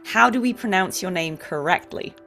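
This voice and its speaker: A woman with a lowish voice hosts an interview show. She speaks in a casual, conversational way, with faint music underneath. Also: expressive, normal speed, creaky, a bit bright.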